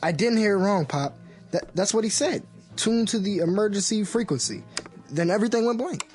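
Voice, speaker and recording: An actor playing an earnest, anxious young son in a radio drama, hesitant yet insistent. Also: male voice, high voice, expressive, fairly quick, darkish tone.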